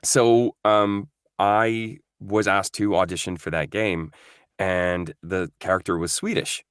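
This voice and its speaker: Low-voiced man from Norway, dry sound. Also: steady tone, takes it slowly, smooth, no room sound. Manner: thoughtful, many fillers and restarts. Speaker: voice actor guest. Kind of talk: casual interview chat.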